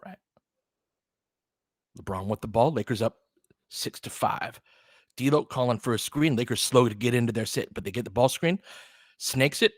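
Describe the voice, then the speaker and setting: A man's voice: medium pitch, animated, moderate pace, long pauses, breathy edge, no room sound. Casual man calling basketball, dry close sound. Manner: conversational, slangy, clipped phrases during play. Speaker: basketball play-by-play streamer and host. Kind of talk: casual fan commentary, play-by-play mixed with chat.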